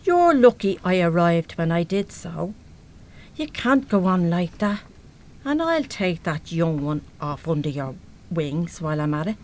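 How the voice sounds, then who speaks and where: A woman's voice: fairly low pitch, sing-song, a little slow. A middle-aged Irish mother in a radio play. She is brash and salty, with blunt, colloquial working-class speech and a big heart underneath. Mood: breezy, bossy. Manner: blunt, colloquial, mildly sweary. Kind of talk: live radio play acting.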